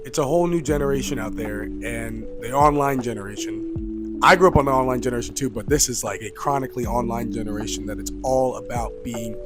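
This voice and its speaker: A young man in his twenties delivers a casual, rambling rant to camera, with "like" in nearly every sentence. He gets loud and exasperated when worked up. Music plays clearly behind him. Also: medium pitch, fairly quick. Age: 20s. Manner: rambling, filler-heavy, says "like" constantly. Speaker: youth coach and vlogger.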